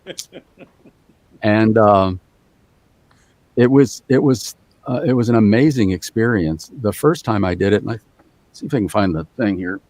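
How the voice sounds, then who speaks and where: A man's voice: on the low side, moderate pace, pauses often, darkish tone, no echo. A man guesting on a podcast, telling a rambling story with many fillers. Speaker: guest voice actor.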